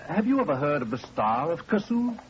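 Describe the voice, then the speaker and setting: An actor playing an earnest, anxious army officer, formal and insistent, in 1940s radio style. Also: male voice, fairly high pitch, very animated, a little fast. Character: earnest, anxious English military gentleman, polite emissary. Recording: muffled, noisy 1940s radio recording.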